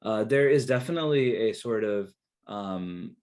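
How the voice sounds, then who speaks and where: A young man who grew up speaking Kannada, talking as an interview guest. His voice sits low, and he rambles loosely, with many restarts and fillers as he gathers his thoughts. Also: animated, unhurried, darkish tone. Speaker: interview guest, researcher and therapist. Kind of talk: casual interview talk.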